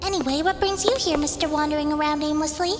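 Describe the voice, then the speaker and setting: A very high little-girl voice acted by a woman rings through a big hall over clear music. She is bratty and scornful, teasing in childish, mocking name-calls, as a radio play character. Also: steady tone, quick pace, close to an everyday voice. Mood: teasing welcome. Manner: mocking, sing-song childish name-calling. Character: taunting little schoolgirl, bratty and scornful, on a stage. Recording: long hall reverb, music behind.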